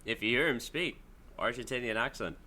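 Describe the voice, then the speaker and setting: A man's voice: mid-range voice, a little fast, pushed and bright, slight background noise. A man with a mixed international accent co-hosting a casual football podcast, blunt, wry and sweary. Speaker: podcast co-host.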